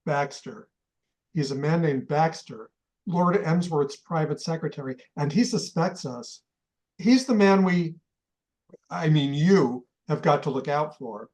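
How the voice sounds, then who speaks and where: An actor playing a fretful older male millionaire collector in a radio play, speaking anxiously and with slow emphasis. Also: on the high side, darkish tone, natural voice.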